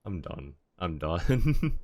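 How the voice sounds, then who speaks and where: A man's voice: low voice, big pitch swings, drawn-out words, a little mellow, studio quality. A man livestreaming a video game with casual commentary, in a roomy-sounding recording. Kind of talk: casual commentary while playing.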